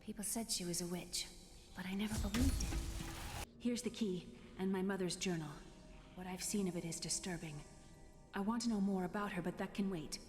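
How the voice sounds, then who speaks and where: An actress playing an earnest, brave and caring young niece in a fantasy video game, speaking directly. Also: female voice, mid-range voice, normal speed, long reverb tail, over music, lightly acted.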